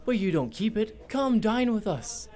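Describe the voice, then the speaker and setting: An actor in a radio play playing a cheerful, good-natured younger nephew with a jovial, friendly way of talking. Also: male voice, high voice, big pitch swings, a little slow, darkish tone.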